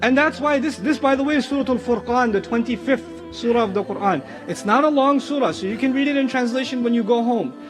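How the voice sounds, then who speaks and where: Extremely high-pitched man giving a lecture. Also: a little fast, few pauses, over music. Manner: emphatic, repetitive, explanatory. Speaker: religious lecturer. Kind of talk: Islamic lecture.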